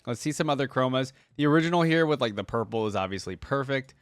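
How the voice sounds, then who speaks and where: Guy doing casual reaction commentary, chatty and into it, thinking out loud, really dry close sound. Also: mid-range voice, expressive, fast, clear, resonant tone, dry recording, faint music behind. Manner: enthusiastic, informal, thinking aloud. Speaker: reaction video creator and host.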